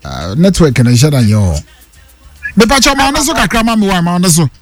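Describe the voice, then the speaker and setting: A man's voice: high-pitched, very animated, fairly quick. A man hosting a call-in radio show, now and then breaking into short sung phrases between callers. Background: music bed.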